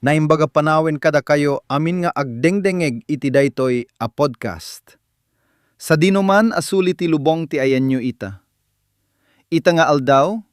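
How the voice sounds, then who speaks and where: Slightly high-voiced Ilocano male host. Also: dry recording, studio quality. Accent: Filipino (Ilocano). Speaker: podcast host. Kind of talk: scripted introduction to a drama commentary.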